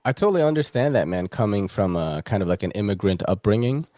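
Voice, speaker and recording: Male podcast host, lowish pitch, slangy and profane, jokey, casual chat, dry sound. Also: big pitch swings, fast, warm tone, dry recording. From from the Philippines, moved to America at seven.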